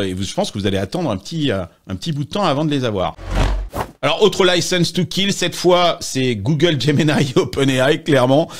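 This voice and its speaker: A male podcast host in casual tech chat. He teases his guests and his pitch leaps up and drops low as he talks. His voice sits in a middling register. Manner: teasing, animated, swings up and down in pitch.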